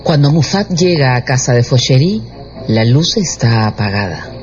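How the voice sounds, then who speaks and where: A man's voice: mid-range voice, sing-song, moderate pace, a little mellow. A man giving scripted dramatic narration as the storyteller of a radio drama. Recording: dull radio production with music underneath.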